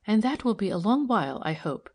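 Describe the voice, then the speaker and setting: Woman playing a composed, friendly, creaky-voiced lady. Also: medium pitch, sing-song, fairly quick, a little mellow. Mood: brisk, good-humoured. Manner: light, careless, friendly. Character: shrewd, friendly, composed woman investigator posing as seamstress.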